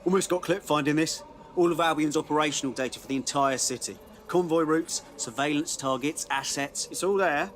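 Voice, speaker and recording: A man plays a defiant, streetwise British activist in a video game cutscene. The voice is somewhat high, with clipped, informal phrasing. A little ambient noise runs underneath. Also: fairly quick, a bit bright, lightly acted. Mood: conspiratorial.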